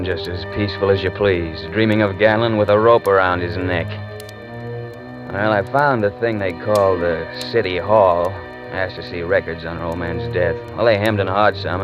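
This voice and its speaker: An actor playing a world-weary, stubborn investigator in a radio drama, with a slangy, wry and terse delivery. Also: male voice, low-pitched, little pitch movement, normal speed, lightly acted. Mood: wry, weary narration. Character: world-weary hard-boiled male investigator in love, stubborn. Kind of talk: 1940s radio drama acting, first-person narration. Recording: muffled old radio recording, music behind.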